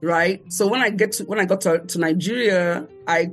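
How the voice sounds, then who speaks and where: A woman's voice: fairly low pitch, husky, soft background music. A woman guest who grew up in the United States, telling stories animatedly and laughing often in a conversational interview. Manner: lively, laughs often, quick bursts of speech.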